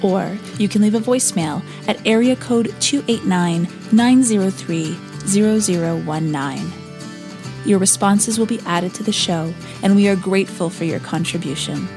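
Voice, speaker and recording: A woman's voice: mid-range voice, moderate pace, over music. A woman, reading as a podcast host in a scripted style. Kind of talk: scripted narration, reading prayer requests.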